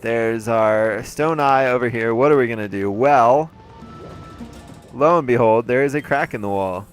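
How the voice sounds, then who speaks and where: A man's voice: mid-range voice, unhurried, faint music behind. A man giving playful, chatty commentary on a video game he is playing, with a slightly congested voice. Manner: playful, chatty, jokey asides. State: congested, throat a little off. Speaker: let's play host. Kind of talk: casual gameplay commentary.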